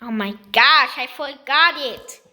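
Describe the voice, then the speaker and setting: A woman's voice: high voice, takes it slowly, bright, forceful tone. A woman giving a casual screen-recording tutorial, muttering to herself in a hesitant, repetitive, easily exasperated way. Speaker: video tutorial creator.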